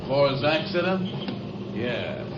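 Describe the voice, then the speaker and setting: An actor playing a cold, bullying oil racket thug with curt threats in a 1950s radio drama. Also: male voice, medium pitch, very animated, a little slow, lo-fi sound, very noisy. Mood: cold, insistent. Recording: distant echoey old radio recording, music bed.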